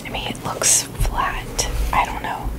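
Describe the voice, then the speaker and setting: Woman whispering an asmr review, chatty n rambly, pretty opinionated. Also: takes it slowly. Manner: informal, opinionated, loosely rambling. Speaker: ASMR video creator.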